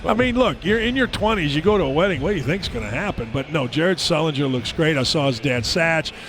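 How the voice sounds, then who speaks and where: A man's voice: fairly high pitch, expressive, fast. A middle-aged man giving chatty, rambling color commentary on a basketball broadcast from a headset mic.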